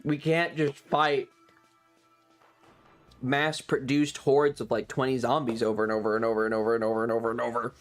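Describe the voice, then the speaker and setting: A man's voice: mid-range voice, little pitch movement, moderate pace, faint music behind. A man chatting casually to stream viewers, telling stories emphatically with heavy swearing and repeated phrases. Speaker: livestreamer. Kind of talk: casual chat, animated storytelling to stream viewers.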